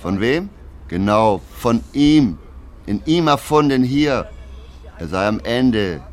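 A man's voice: mid-range voice, lively intonation, takes it slowly. A man acting in a radio play, relaying a self-important visionary's boasts in emphatic, clipped phrases. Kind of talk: radio play narration in reported speech.

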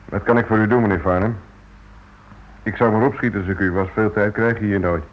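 An actor playing a cool, sardonic convict in a Dutch radio play, talking with wry, mocking politeness. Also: male voice, on the low side, steady tone, fairly quick, close to an everyday voice. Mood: wry, amused. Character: cool, sardonic male convict receiving a prison visitor. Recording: muffled old radio play recording.

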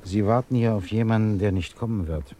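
A man playing a grave, principled interrogator in a German radio drama, speaking in a measured, formal, earnest way. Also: fairly low pitch, dark tone, slight background noise, lightly acted. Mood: grave, subdued. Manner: measured, formal, deliberate speech.

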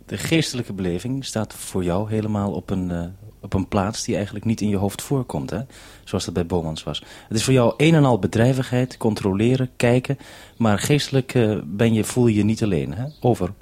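A man's voice: fairly low pitch, fairly quick, darkish tone. A man hosting a live radio interview over a two-way link. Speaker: radio host.

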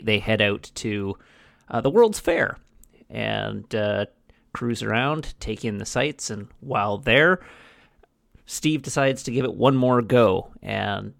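Young guy cohosting a movie podcast, gravelly voice, dry matter-of-fact, no room sound. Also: lowish voice, a bit bright, no room sound. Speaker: podcast co-host. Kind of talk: casual movie podcast chat and plot recap.

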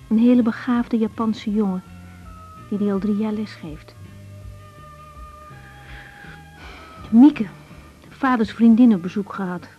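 A woman's voice: mid-range voice, natural voice. An actress playing an earnest, wounded young female doctor in a radio drama, emotionally open and introspective. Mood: reflective, pained. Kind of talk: naturalistic radio drama acting, diary-like monologues. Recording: dull radio recording with music.

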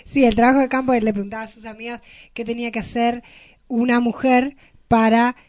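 A woman's voice: fairly high pitch, little pitch movement, brisk, darkish tone, no echo. A woman with an Argentine accent chatting casually as a radio co-host, explaining things in an irreverent way. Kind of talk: casual chat.

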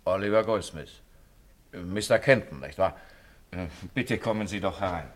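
Man acting courteous gentleman writer in old radio play, deep voice, earnest and eloquent. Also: close to an everyday voice. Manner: urbane, eloquent, measured. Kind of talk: 1950s German radio drama acting.

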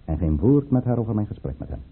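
An actor playing a commanding, guarded count and governor in an old-fashioned radio drama, with a formal, deliberate, urbane delivery. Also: male voice, on the low side, sing-song, slightly rough. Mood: brisk, commanding. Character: commanding, guarded male count and governor, coolly courteous schemer. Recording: muffled, phone-like old radio recording.

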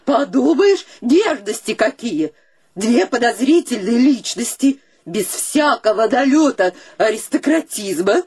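High-pitched, swooping, domineering woman. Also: big pitch swings, measured pace, darkish tone, breathy edge, heavily acted. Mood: scornful, demanding. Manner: indignant, nagging, theatrical. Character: domineering, scornful, possessive woman of the household. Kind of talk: theatrical radio drama acting.

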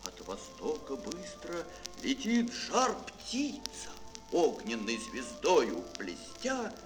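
A man telling a fairy tale for children in a dramatic, drawn-out, expressive storyteller's manner. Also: mid-range voice, low-quality recording. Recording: music behind, old mono record. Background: music.

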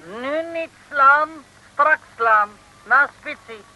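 Pompous Chinese ruler, piercing. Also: male voice, very high-pitched, very slow, bright, forceful tone, highs cut off, heavily acted. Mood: pompous, commanding. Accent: mock Chinese. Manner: grandiose, declamatory pidgin speech. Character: pompous Chinese island ruler addressing his subjects. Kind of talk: 1950s Dutch radio serial acting.

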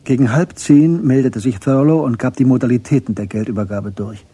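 A man narrating a radio crime drama in a measured, matter-of-fact way as its storyteller. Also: mid-range voice, dark tone, slightly rough, dry recording, natural voice.

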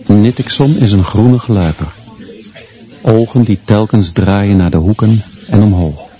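Man playing grim teacher narrating in radio play, really slow n dark, clipped lines, scornful. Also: on the low side, soft background music, close to an everyday voice. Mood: grim, contemptuous. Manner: clipped, deliberate short sentences. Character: grim male schoolteacher narrating his class with contempt. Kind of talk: literary radio drama monologue. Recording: dull, muffled sound.

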